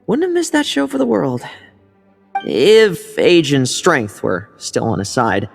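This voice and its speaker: An actor playing a sly, cheerful young knight in a game, breezy and smooth-talking. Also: male voice, a little high, sing-song, slow pace, soft background music, heavily acted.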